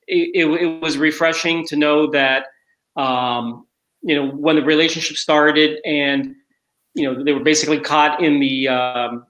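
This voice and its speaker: A man's voice: fairly high pitch, a bit bright, echoey room, low-quality recording. A man moderating a film Q&A casually, in a rambling way with lots of repeated filler phrases. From Miami area, Cuban American upbringing. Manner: rambling, repeats "you know". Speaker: film festival director moderating a Q&A. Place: indoor room, virtual Q&A.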